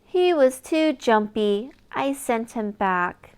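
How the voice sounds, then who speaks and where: A woman reading a picture book aloud to young children in an expressive storytelling manner, with exaggerated wonder for small listeners. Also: fairly high pitch, slow pace, clear, resonant tone.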